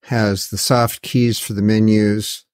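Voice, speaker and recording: A man's voice: on the low side, flat delivery, slow pace, darkish tone, clean tone. Plain-spoken man, casual unboxing walkthrough. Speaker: video host. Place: indoor garage workshop.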